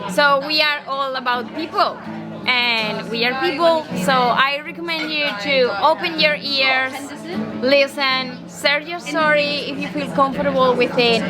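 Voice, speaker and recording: Upbeat high-voiced woman in a busy restaurant. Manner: upbeat and encouraging. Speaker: restaurant volunteer coordinator. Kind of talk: guiding a volunteer induction. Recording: loud music and busy crowd behind.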